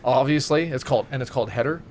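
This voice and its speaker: A man's voice: mid-range voice, very fast, darkish tone, slight background noise. A man chatting casually as a podcast co-host, rambling and enthusiastic, with plenty of swearing. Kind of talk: casual chat with friends.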